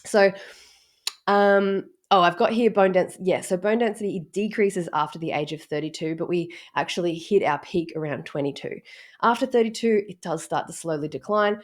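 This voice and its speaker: A woman coach with a slightly low voice, a little croaky from a cold. She talks casually through her explanations, step by step and loose with filler words. Easygoing instructional delivery. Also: moderate pace. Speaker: strength coach. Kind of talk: casual instructional talk to camera.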